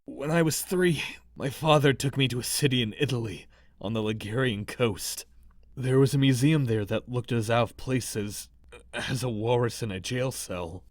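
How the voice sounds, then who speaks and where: A man's voice: medium pitch, moderate pace, dry recording, close to an everyday voice. A man playing a cocky, suave young raccoon master thief in a comic dub, with wry storytelling and a wistful streak. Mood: defiant. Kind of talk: comic dub voice acting with narration.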